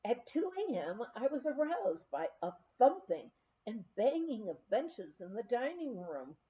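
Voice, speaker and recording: A woman's voice: mid-range voice, warm tone, dull audio. A woman reading a memoir aloud as scripted narration, in a storytelling manner, like an amateur audiobook reader.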